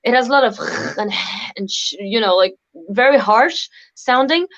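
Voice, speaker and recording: A woman's voice: fairly high pitch, moderate pace, dull audio. A woman whose first languages are French and Moroccan Arabic, chatting as a guest singer in a casual live video interview, laughing often and using many fillers. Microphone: video call.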